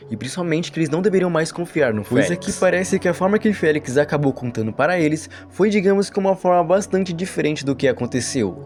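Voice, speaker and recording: A man's voice: a little high, a little mellow. A man with a Brazilian accent narrating an explanatory storytelling video essay as a voice-over.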